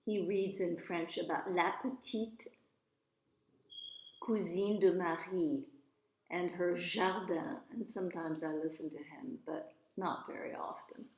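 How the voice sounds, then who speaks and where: A woman's voice: lowish voice, steady tone, slow pace, a little mellow. A woman reading as a precocious, self-assured little rich girl in a storybook, matter-of-fact and haughty with dramatic flourishes. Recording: roomy, echoey voice recording.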